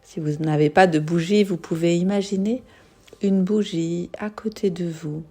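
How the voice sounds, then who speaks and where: A woman guiding a yoga nidra meditation in a soothing, unhurried manner, leaving long pauses. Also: fairly low pitch, fairly even intonation, dark tone, faint music behind. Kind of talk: guided meditation.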